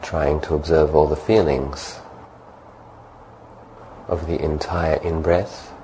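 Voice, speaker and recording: A man calmly leading a guided meditation as a monk, giving gentle, unhurried instructions. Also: very deep voice, a little hiss or hum, low-quality recording.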